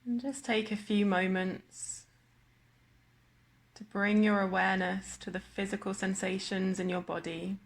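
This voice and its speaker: A woman guiding a body scan meditation in a slow, measured manner with long pauses. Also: medium pitch, steady tone, creaky. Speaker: meditation guide.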